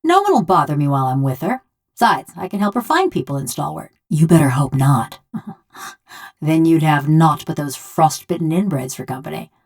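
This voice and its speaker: A sardonic video game construct, a rough country killer nursing a grudge. Her voice sits a little low for a woman and moves in wide, lively swings. She speaks in folksy, ungrammatical phrases with a taunting, bitter edge. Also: normal speed, heavily acted. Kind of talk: video game character dialogue.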